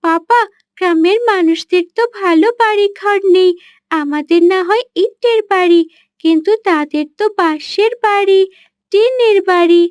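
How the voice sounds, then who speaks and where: A woman's voice: extremely high pitch, normal speed, dry recording, clean studio sound. A woman voicing a kind, worried young daughter in a cartoon, speaking earnestly. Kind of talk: cartoon voice acting.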